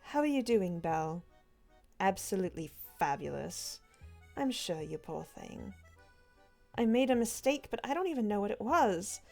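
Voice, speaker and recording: A woman's voice: medium pitch, sing-song, moderate pace, creaky, warm tone, faint music behind. A woman voicing an anxious, devoted mother, pleading and worried.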